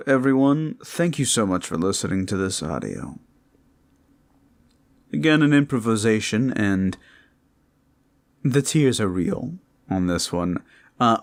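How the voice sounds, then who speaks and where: A man who makes roleplay audio, speaking casually and a little haltingly as he thanks his listeners. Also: low voice. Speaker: audio roleplay creator and performer. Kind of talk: casual outro addressed to listeners.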